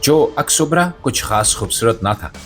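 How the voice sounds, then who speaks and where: A man's voice: medium pitch, animated, normal speed, a bit bright, soft background music. A man hosting a satirical commentary show, delivering a scripted monologue in a theatrical, mock-solemn way.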